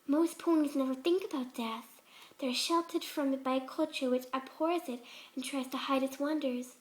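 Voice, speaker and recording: A woman voicing a sweet, soft-spoken but twisted female pegasus pony surgeon, gentle and cooing in a story reading. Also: high voice, steady tone, moderate pace, lightly acted. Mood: calmly fervent. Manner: gentle, cooing, serenely unhinged.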